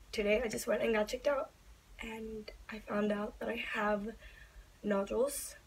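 A young woman vlogging to camera in a small bedroom, talking earnestly and openly, her voice slightly raspy and breathy. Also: a little high, a little hiss or hum, rough recording. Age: young adult. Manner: earnest, open, reassuring. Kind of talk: personal vlog talk to camera.

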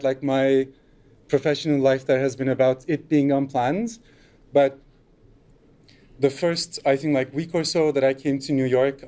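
Middle-aged guy presenting at a lectern, dark mellow voice, pretty monotone, lots of fillers. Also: mid-range voice. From Turkey. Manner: relaxed, loose delivery with frequent fillers. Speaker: conference speaker. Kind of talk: conference talk. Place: lecture room, at a lectern.

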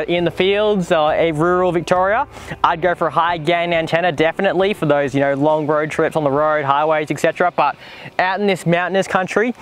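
A man in his twenties with an Australian accent, casually explaining to camera as a vlog host outdoors. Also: on the high side. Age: 20s. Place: outdoors in dry bush clearing beside a 4WD.

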